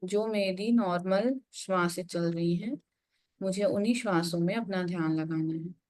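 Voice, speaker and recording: Meditation guide: woman, lowish pitch, unhurried instructional delivery with plenty of pauses. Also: a little mellow.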